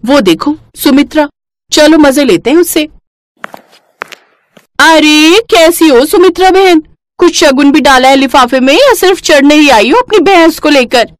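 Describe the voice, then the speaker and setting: A woman's voice: sits high, animated, unhurried, no echo, pitched above the performer's own voice. A woman voicing a gossipy, taunting neighbourhood woman in a cartoon story, with exaggerated delivery. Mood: mocking. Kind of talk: cartoon family story acting.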